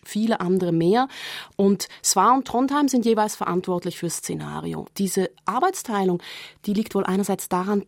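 A woman's voice: lowish voice, vocal fry, dry recording. Woman explaining in a dry studio. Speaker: radio critic discussing comics. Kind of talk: studio magazine discussion, explanatory.

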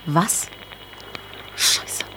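A woman's voice: drawn-out words, sharp tone, in a whisper. A woman playing a curt, ruthless female gangster enforcer in a German radio crime drama, threatening in manner. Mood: cold menace. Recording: reverberant hall, music underneath.

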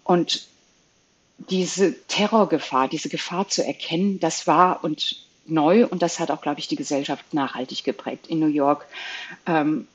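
Husky woman from Belgium. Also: low voice, a little slow, muffled recording. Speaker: interview guest, eyewitness. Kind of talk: personal recollection in a panel interview.

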